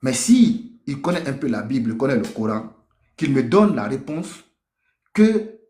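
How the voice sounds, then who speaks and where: A man's voice: medium pitch, sing-song, a little slow, warm tone. A man from Africa speaking with emphasis in a group debate, giving impassioned personal testimony and repeating phrases for weight. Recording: echoey room.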